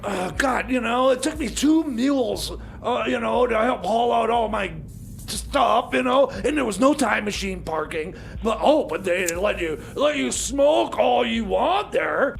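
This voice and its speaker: A man playing a high-strung time machine mechanic in comedy radio theater, whining and stammering his complaints in a high, gravelly voice. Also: animated, measured pace, a little hiss or hum, exaggerated voice. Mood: exasperated.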